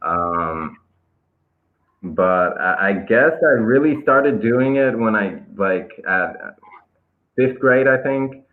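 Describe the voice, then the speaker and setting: A man's voice: lowish voice, animated, slow. A young man from Israel with an American-tinged Israeli accent, chatting casually as an interview guest, loose and hesitant with lots of fillers. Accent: American-influenced English, Israeli. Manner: laid-back, hesitant with many fillers and restarts. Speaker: guest skateboarder. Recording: tinny phone-line call audio.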